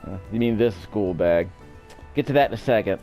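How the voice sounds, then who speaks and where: A man's voice: on the low side, expressive, fairly quick, mellow, faint music behind. A man giving casual, offhand game commentary, wryly thinking aloud as he plays. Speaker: let's play commentator.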